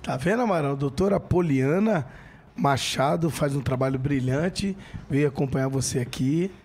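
Brief: energetic middle-aged Brazilian host, slightly high voice, studio handheld mic. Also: male voice, moderate pace, a little hiss or hum. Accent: Brazilian Portuguese. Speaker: podcast host. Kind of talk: casual podcast talk with live ad reads. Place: podcast studio.

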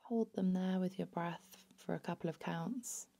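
A woman narrating a guided body scan meditation in a soothing, unhurried, hushed manner. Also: on the low side, vocal fry.

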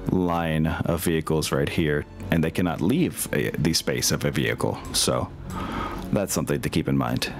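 A man talking casually as a game master, often thinking his decisions out loud. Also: rumbling low, moderate pace, gravelly. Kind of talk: casual tabletop game talk. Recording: music playing behind the voice. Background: background music.

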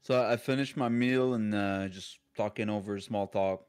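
Joking French Canadian gamer. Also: male voice, lowish voice, fairly even intonation, normal speed, a little mellow, clear, resonant tone. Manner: joking, laughs often. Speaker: player. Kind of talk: casual chat, playing a tabletop game.

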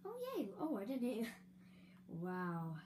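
A young woman chats slowly through a building game, giggling and trailing off between fillers. Her voice is slightly raspy, and a steady hum sits under the recording. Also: mid-range voice. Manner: chatty, giggly, rambling with fillers. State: slightly raspy, throat-clearing. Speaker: gaming video creator. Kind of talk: casual let's-play building commentary. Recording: audible hum behind voice.

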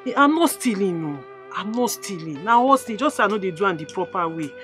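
A woman's voice: mid-range voice, animated, a little fast, a bit bright, soft background music, natural voice. Woman playing a cheeky young Nigerian hustler. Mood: coaxing. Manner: defensive, coaxing, mixes in Pidgin.

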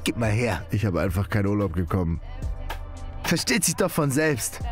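A man's voice: fairly low pitch, very animated, normal speed, soft background music. A man playing an easygoing, friendly father in an audio drama. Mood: jovial. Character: easygoing, friendly father chatting with old pal. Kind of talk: audio drama dialogue acting.

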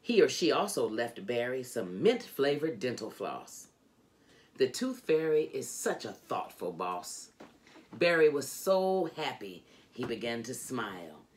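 A middle-aged woman reading a children's book aloud in an expressive, deliberate, emphatic storytelling manner. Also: very deep voice. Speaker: storybook reader. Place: indoors, furnished home room.

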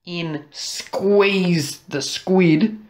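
A woman narrating a children's story expressively, dramatic and deliberate, drawing out key words. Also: lowish voice, a little mellow. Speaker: storybook reader.

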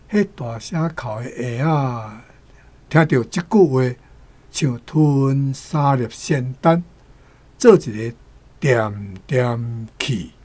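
A man's voice: medium pitch, darkish tone, breathy edge. A man with a Taiwanese accent, a guest teacher giving explanatory commentary on expressions.

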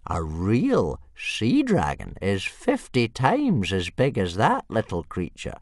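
A man voicing a smug, rambling old male soothsayer with a wheezy, high-pitched voice and grand, slow pronouncements. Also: dry recording, studio quality.

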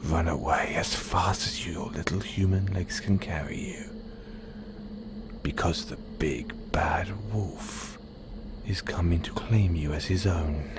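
A man voicing a predatory, possessive werewolf in an intimate audio roleplay, in a breathy, seductive, menacing whisper. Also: low voice, takes it slowly, music in the background. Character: predatory, possessive male werewolf stalking his chosen mate.

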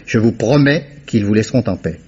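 Lombard, a dogged investigator. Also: male voice, lowish voice, animated, a little fast, a little mellow, breathy edge. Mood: insistent. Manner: insistent, probing questioner. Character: persistent male amateur investigator, Lombard. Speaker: lead in a radio play. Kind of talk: 1950s radio drama acting. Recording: dull old radio recording.

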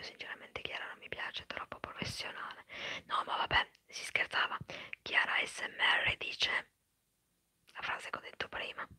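A woman whispering quickly and chattily in an ASMR live stream, answering viewers' comments. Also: sharp tone, no echo. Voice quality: breathy whisper. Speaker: ASMR creator.

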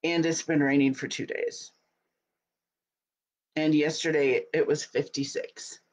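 A woman's voice: low-pitched, steady tone, unhurried, darkish tone. Chatty woman with a stuffy cold. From Utah. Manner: chatty, laughs often. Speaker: host. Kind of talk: casual live video chat. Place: home office, small room indoors. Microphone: webcam at desk.

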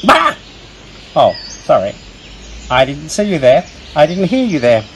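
A man voicing an excitable, talkative young squirrel who tells children a story in a theatrical, self-important way. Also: a little high, a little slow, muffled recording. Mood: excitable, chatty. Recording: faint noise with birdsong and crickets.

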